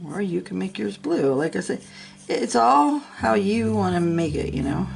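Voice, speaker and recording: Gravelly low-voiced woman teaching coloring. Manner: chatty and encouraging, talks to herself while working. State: a little sick, sniffling. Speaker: coloring tutorial host. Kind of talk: casual step-by-step coloring tutorial.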